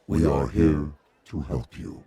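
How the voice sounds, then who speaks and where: An actor playing a calm, courteous, inscrutable alien emissary in a radio drama, speaking formally in measured, deliberate phrases. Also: male voice, extremely low pitch, breathy edge, no echo, heavily acted.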